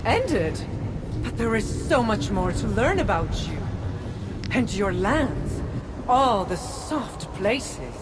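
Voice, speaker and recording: A woman's voice: medium pitch, sing-song, background noise. An actress playing a menacing, teasing goddess of the underworld in a video game, sly and taunting, drawing out her words. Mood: sly menace. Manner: taunting, drawn-out. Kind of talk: cinematic video game acting.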